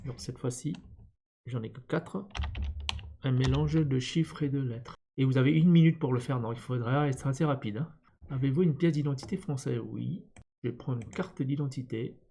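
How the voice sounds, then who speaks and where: A man's voice: mid-range voice, normal speed, creaky, mellow, slight background noise. A man presenting an unscripted step-by-step screen tutorial, thinking aloud hesitantly as he goes. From born in Vietnam. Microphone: computer screen-recording voice-over.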